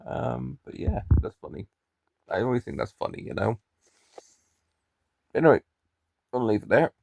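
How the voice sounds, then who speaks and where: A man's voice: medium pitch, animated, moderate pace, vocal fry, a little mellow, slight background noise. A young man with a mild Irish accent, chatting and rambling to camera in a daily vlog, often restarting his sentences. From Ireland. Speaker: daily vlogger. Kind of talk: casual chat to camera.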